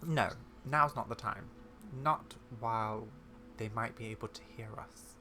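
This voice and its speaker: A man's voice: on the low side, measured pace, pushed and bright, faint music behind. A man reading fantasy game quest dialogue aloud in a dramatized, storybook-like way. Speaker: gaming video creator. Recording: noticeable background noise.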